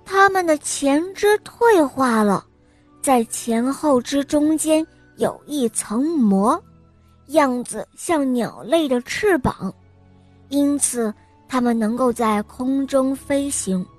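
Sweet, slow children's storyteller woman. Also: high-pitched, expressive, dry recording, faint music behind. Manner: sweet, sing-song, gently coaxing. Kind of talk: children's bedtime story narration.

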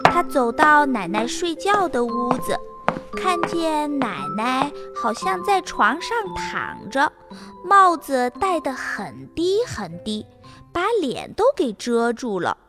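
A woman narrating a fairy tale for children in an expressive storytelling manner. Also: sits high, slow pace, long reverb tail. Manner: expressive, spoken to young listeners with sentence-end particles. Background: music.

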